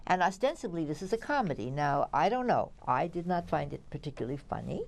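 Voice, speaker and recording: Female theatre critic, low register, opinionated and wry, conversational review banter. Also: big pitch swings, fast talker, creaky.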